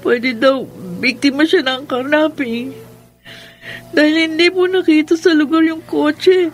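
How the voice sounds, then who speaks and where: Radio drama young woman: respectful and sorrowful, high-pitched, faint music underneath. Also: steady tone, unhurried. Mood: grieving, tearful. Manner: respectful, plaintive. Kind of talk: Filipino radio drama acting.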